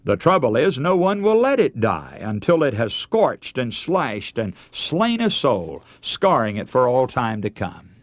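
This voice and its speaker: A man delivers a radio sermon with earnest emphasis and dramatic pauses. His voice swings widely in pitch as he presses each point. The sound is close and dry, with no room around it. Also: sing-song, no room sound. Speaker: radio preacher.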